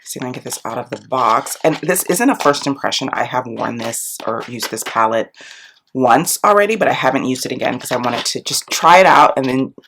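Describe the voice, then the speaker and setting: Congested woman vlogger in her thirties, gravelly with fry, rambling chat to a close camera. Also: a bass voice, expressive, fairly quick, fairly bright tone, a little hiss or hum. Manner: chatty, rambling, lots of ums and likes. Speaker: beauty and book vlogger. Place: small room at home, indoors. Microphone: camera close up, face framing.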